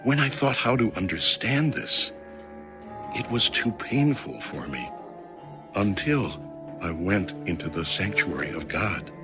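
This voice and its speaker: Low, slow man reading over music. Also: expressive. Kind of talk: solemn scripted scripture reading.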